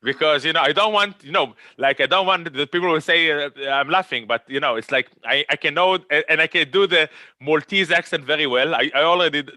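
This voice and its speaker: A man's voice: on the high side, brisk, pushed and bright, dry recording. A man with an Israeli accent moderating a virtual conference panel, chatty and rambling, often repeating words.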